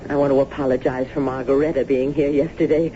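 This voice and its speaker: A woman's voice: a bass voice, fairly even intonation, fast, dark tone. A woman acting in a radio drama as a courteous, formal neighbor hostess with a subtly sinister, insinuating manner. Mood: courteous, solicitous. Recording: muffled, noisy old radio broadcast.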